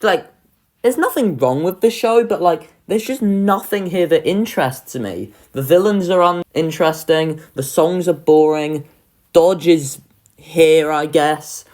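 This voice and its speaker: A woman's voice: sits low, unhurried, darkish tone. A woman giving casual, opinionated video commentary as a host, informal and sardonic in manner. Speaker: video host ranking children's shows.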